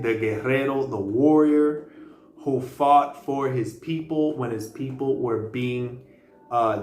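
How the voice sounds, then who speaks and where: Slangy man in an echoey room. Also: medium pitch. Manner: loose and slangy, with many fillers and restarts. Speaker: video host. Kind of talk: casual rambling history vlog. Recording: roomy echo with faint background noise.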